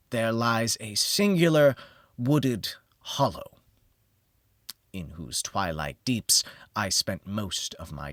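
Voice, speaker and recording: A man narrating a gothic horror audiobook in the first person, theatrical and measured, with deliberate pauses. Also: on the low side, a bit bright, no room sound, studio quality.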